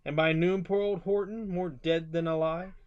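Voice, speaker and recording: A storyteller giving an expressive children's book read-aloud, with casual asides. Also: medium pitch, normal speed, muffled recording.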